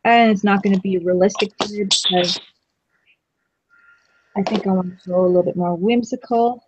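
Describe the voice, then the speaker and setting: A woman's voice: mid-range voice, steady tone, normal speed, highs cut off, noisy background. A woman co-hosting a craft stream, chatting casually and teasing herself as she paints. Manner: chatty, giggly, self-teasing. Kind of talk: casual chat while painting.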